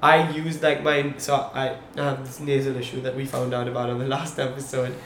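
A man's voice: medium pitch, a little fast. A young man, a podcast co-host, telling a long story in casual chat and checking in with his listeners as he goes. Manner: animated storyteller, checks in with "okay? right?". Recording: echoey room, faint background hum.

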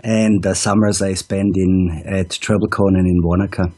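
A man, a ski school director, calling in to tell his story calmly and step by step. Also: low voice, moderate pace, slightly rough. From Austria. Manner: steady, methodical storytelling with "um"s. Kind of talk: casual interview answers. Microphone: calling in over an online video call.

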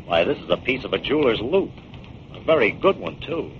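An actor playing a confident, shrewd amateur detective in a 1940s radio drama, with a wry and persuasive manner. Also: male voice, mid-range voice, very animated, fairly quick, close to an everyday voice. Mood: measured, probing. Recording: muffled, noisy 1940s radio recording.

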